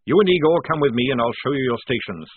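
A man reading an audiobook, voicing a gruff, shrewd old army sergeant who barks orders. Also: mid-range voice, lively intonation, fast talker, dry recording, pitched above the performer's own voice. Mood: commanding. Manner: barking orders. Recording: dry, tinny phone-like sound.

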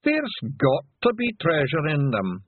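Storybook pixie thief, played by a man: Scottish, tiny, greedy and eager, pitched high, narrow muffled sound. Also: huge pitch swings, measured pace, husky, no room sound, full character voice. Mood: insistent, greedy. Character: small excitable greedy pixie men, cheerfully thieving. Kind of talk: audiobook character acting.

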